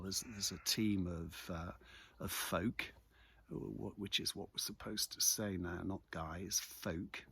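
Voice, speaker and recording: An older man chatting casually about local events in a hesitant, rambling, reflective way, with a husky voice. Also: low-pitched, a bit bright. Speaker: local news vlogger. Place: outdoors in a quiet churchyard.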